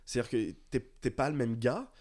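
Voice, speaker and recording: A young man from the south of France chats at a very quick clip. His talk is slangy and rambling, full of loose turns, as in a relaxed podcast between friends. His pitch sits in a middle male range. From south of France (Marseille area). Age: 20s. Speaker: podcast co-host. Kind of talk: casual conversation.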